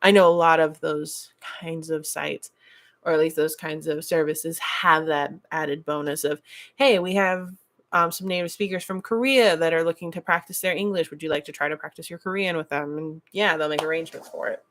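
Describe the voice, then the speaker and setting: A young adult woman from the United States hosting a casual chat stream, blunt and animated, laughing often as she talks. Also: sits low, normal speed. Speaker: stream host. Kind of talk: casual chat reading chat messages.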